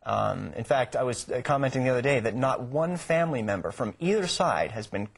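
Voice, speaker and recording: Husky, hesitant male studio guest. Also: mid-range voice, lively intonation, brisk. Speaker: news show guest reporting on a case. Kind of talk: live interview commentary. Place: television news studio.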